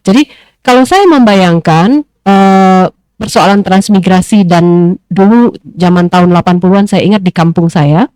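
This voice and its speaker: A woman's voice: medium pitch, a little mellow, no room sound. Woman panelist, thoughtful and dry. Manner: thoughtful, pauses often with fillers. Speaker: discussion panelist. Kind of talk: panel discussion, reflective speaking.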